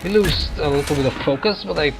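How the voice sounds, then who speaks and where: A man giving casual, halting gameplay commentary as he hosts a gaming video. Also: on the high side, vocal fry. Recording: game music and battle noise behind. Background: video game music and combat sounds.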